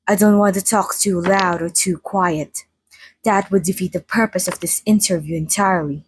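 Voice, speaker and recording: A woman voicing a playful, theatrical century-old female vampire in a chatty, mock-formal ASMR roleplay monologue, with a soft, breathy voice. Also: fairly low pitch, steady tone, normal speed, a little hiss or hum, lightly acted. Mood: coy, friendly. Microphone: close studio microphone, dry.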